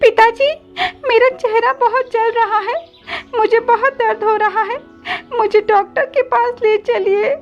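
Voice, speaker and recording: A woman's voice: very high-pitched, fairly even intonation, a little slow, voice pushed up. A woman voicing a timid, tearful little girl bird, meek and pleading, in a cartoon moral story. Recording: slightly dull audio with background music.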